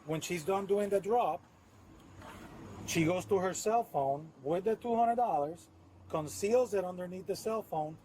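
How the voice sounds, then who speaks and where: A man's voice: high voice, a little slow, mellow, a little hiss or hum. A man with a Puerto Rican accent, a police officer patiently explaining a case step by step at a front door. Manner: patient, detailed, methodical. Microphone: body camera.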